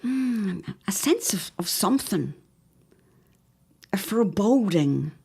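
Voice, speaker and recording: A woman's voice: medium pitch, sing-song, slow pace, vocal fry, warm tone, breathy edge, exaggerated voice. A woman playing an anxious middle-aged wife of the 1910s in an audio drama, speaking in a formal, old-fashioned, earnest way. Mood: uneasy, earnest. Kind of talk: period audio drama acting.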